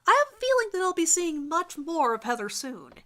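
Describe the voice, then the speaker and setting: A woman's voice: extremely high pitch, big pitch swings, a little fast, fairly bright tone. A woman giving a dramatic first-person reading of her own fan fiction story.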